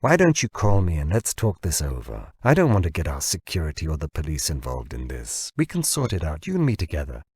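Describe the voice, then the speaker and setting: A man's voice: a bass voice, wildly swooping pitch, fairly quick, few pauses, darkish tone, slightly rough, no echo, studio quality, pitched below the performer's own voice, heavily acted. Man acting an agitated, pressing company boss. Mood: conciliatory. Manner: urgent, pressing, staccato. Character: agitated, wheedling male company founder.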